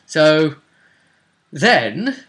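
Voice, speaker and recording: A man's voice: a little high, sing-song, drawn-out words, fairly bright tone. A man casually recounting a tabletop role-playing session as its game master.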